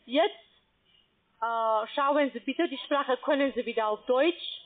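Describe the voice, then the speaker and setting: High-voiced woman on a tinny phone line. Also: slow. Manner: instructing step by step, broken grammar. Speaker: scam call center agent. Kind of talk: phone call.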